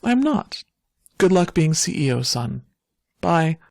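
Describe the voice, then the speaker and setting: A woman voicing a steely, vengeful older mother with a cool, pointed manner in an animated superhero drama. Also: low voice, slow pace, vocal fry, dry recording, clean studio sound, full character voice. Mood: coolly dismissive.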